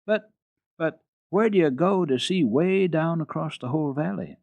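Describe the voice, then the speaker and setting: A man's voice: a little high, moderate pace, vocal fry, darkish tone, no room sound, highs cut off, voice pushed up. A man voicing an innocent little mountain girl, earnest and full of naive questions, in a children's story reading. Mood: curious.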